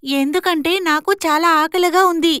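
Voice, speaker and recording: A woman's voice: very high voice, fairly even intonation, moderate pace, a bit bright, dry recording, studio quality. A woman voicing a bold young woman in a moral story cartoon, scolding and assertive as she stands up to her in-laws. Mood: defiant, indignant.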